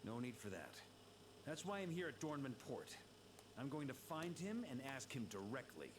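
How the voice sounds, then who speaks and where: An actor playing a grave, dutiful knight who speaks calmly and resolutely. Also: male voice, mid-range voice, normal speed, lightly acted. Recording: game audio with background noise.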